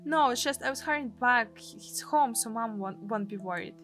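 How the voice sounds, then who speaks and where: Game big brother, read by a woman streamer: Russian accent, young, tired, protective, bright tone. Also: on the high side, moderate pace, faint music behind, close to an everyday voice. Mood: uneasy.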